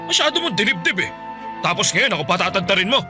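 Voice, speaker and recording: An actor playing an irritable husband in a radio drama, speaking curtly and defensively. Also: male voice, sits high, big pitch swings, quick pace, music in the background. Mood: annoyed. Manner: curt, dismissive, defensive. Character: irritable husband dodging his wife. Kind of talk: Filipino radio drama acting.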